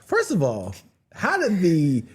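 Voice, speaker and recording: A man with a husky, breathy voice co-hosting a podcast in loose, joking chat. His pitch leaps wildly from low to high as he pushes back, teases and argues about pronunciation. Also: huge pitch swings, slow pace. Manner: playful, argumentative, big swings in pitch. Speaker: podcast co-host. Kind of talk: casual chat.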